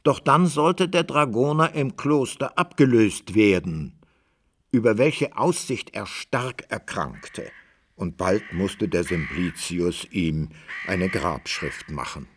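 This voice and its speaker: A man narrating a literary radio drama from a script, measured and wry in his storytelling. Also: on the low side, slightly rough.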